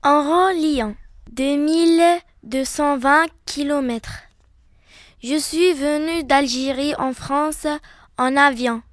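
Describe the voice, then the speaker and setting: Teen girl, high voice, slow careful reading, dry close sound. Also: dry recording, faint music behind. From Algeria. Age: teens. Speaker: student reading her text. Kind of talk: read-aloud personal account.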